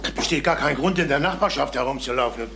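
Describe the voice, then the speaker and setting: An actor playing a tired, defensive salesman in a German crime radio play, speaking brusquely, evasively and haltingly. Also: mid-range voice, creaky, close to an everyday voice. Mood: defensive, irritable. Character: tired, irritable male salesman, shaken and defensive. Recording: faint noise on old radio recording.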